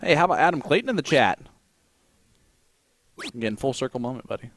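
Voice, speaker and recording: A man's voice: mid-range voice, lively intonation, fairly quick, background noise. A man with an Indiana accent calling a darts match play by play, joking and teasing his co-commentator. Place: commentary desk at a darts tournament.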